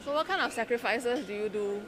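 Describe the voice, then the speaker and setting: A woman interviewing people on the street, asking clear, inquisitive questions. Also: a little high, expressive, brisk, crowd noise. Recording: clear background noise outdoors.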